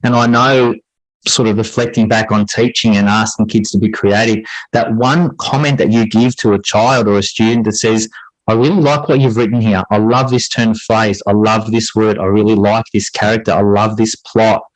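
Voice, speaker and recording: A man's voice: lowish voice, fairly even intonation, normal speed, dry recording, poor audio. A man giving reflective interview answers as a podcast guest, earnest, often hedging and circling back as he explains. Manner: earnest, hedging with repeated "sort of". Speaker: podcast guest, high school English teacher and writer.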